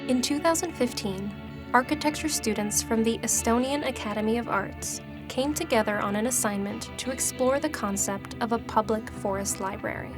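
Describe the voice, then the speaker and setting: A woman's voice: fairly high pitch, moderate pace, music in the background. A woman reporting and narrating in the style of a travel documentary.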